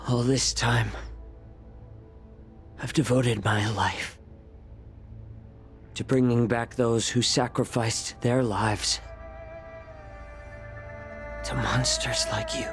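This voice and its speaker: A weary, bitter fox-eared healer. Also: male voice, medium pitch, fairly even intonation, fairly bright tone, soft background music. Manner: slow, heavy, grieving and bitter. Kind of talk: video game cutscene acting. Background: faint game score.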